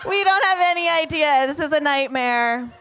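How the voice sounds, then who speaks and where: A woman's voice: high-pitched, moderate pace, fairly bright tone. A young woman from Vermont performing a stand-up comedy set on a theater stage, self-mocking and acting out the people she quotes. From Vermont, USA.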